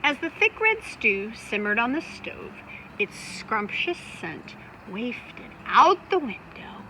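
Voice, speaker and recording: A woman reading a children's picture book aloud, expressively, with dramatic emphasis and drawn-out phrases. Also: fairly high pitch, sing-song, noisy background. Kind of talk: expressive scripted read-aloud for young children.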